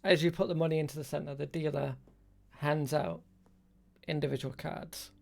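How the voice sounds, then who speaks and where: A man's voice: a little high, steady tone, normal speed, vocal fry, a little mellow. A man improvising narration as game master of a tabletop game, hesitant and thinking aloud.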